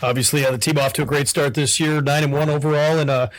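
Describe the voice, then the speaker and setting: Fast-talking male sports radio host. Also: fairly high pitch, level tone, no room sound. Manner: brisk broadcaster delivery with many "uh" fillers.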